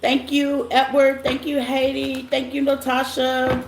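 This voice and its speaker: A woman with a scratchy, rough voice hosts a casual tarot livestream, greeting viewers by name in a loose, chatty way. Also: high voice, monotone, measured pace. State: voice rough after a silent morning. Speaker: tarot reader hosting a live stream. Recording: echoey room with faint noise.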